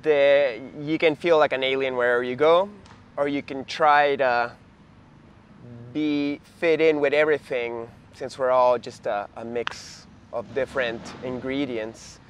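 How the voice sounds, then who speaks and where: A man's voice: medium pitch, fairly even intonation, a little slow, clear, resonant tone. A man hosting a casual, conversational interview outdoors. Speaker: interview host. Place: outdoors in a leafy backyard.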